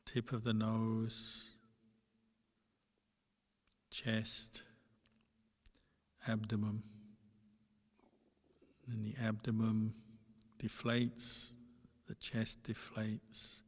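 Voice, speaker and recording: A man with a fairly low voice leads a guided meditation. He speaks very slowly in short, gentle instructions, leaving long pauses. His tone stays flat and even, and the sound carries a long hall reverb. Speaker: Buddhist monk and meditation teacher.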